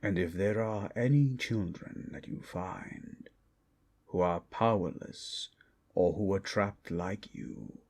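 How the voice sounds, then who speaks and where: A man voicing a proud red dragon, a menacing yet tender protector, in a gravelly, breathy voice with slow, grandiose delivery for a fantasy ASMR roleplay. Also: low-pitched, a little mellow, full character voice.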